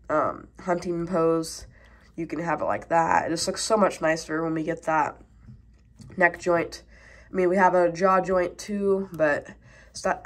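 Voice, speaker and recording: A woman with a stuffed-up cold casually reviewing a toy, rambling with many fillers, recorded at a tabletop on a camera mic. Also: low voice. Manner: rambling, many fillers, talking while handling toys.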